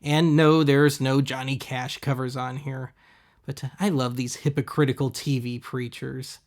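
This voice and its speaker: A man's voice: mid-range voice, little pitch movement, measured pace. A man hosting a thrift-shopping video, giving casual voice-over commentary in a wry, sarcastic manner.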